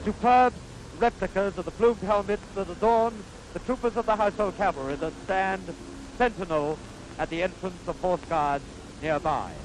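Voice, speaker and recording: A man with a Received Pronunciation British accent giving formal, stately 1950s newsreel commentary in measured phrases, on a vintage soundtrack. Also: high-pitched, a little hiss or hum, low-quality recording.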